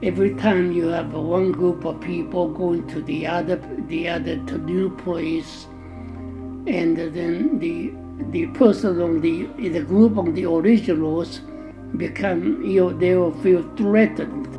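Older woman from Taiwan, slow and low. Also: fairly even intonation, rough recording. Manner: halting, searching for words. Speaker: interviewee. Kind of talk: documentary interview. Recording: music bed underneath.

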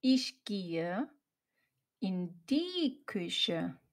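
A woman reading short example sentences for learners, saying each one twice in clear, separate chunks. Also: medium pitch, very animated, very slow. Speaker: German teacher.

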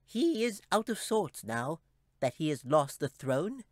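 A man voicing a weary, cranky little male talking chipmunk with a squeaky voice in a fantasy audiobook. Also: big pitch swings, a little slow, dry recording, studio quality. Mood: weary, gloomy. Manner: scolding, gloomy warnings. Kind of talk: audiobook character voice.

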